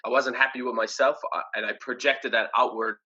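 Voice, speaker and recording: Bright-voiced young Irish man, musing. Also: mid-range voice, steady tone, a little fast, lo-fi sound. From Ireland. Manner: reflective, loose, many fillers and restarts. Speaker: podcast guest. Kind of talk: casual interview chat.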